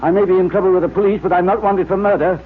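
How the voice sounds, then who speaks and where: A man's voice: high voice, fairly even intonation, very fast, close to an everyday voice. An actor playing a wary, defiant henchman in an old radio crime drama. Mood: protesting. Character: wary, defiant henchman thief who balks at murder. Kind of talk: 1940s radio acting. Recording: tinny, faintly noisy old radio recording.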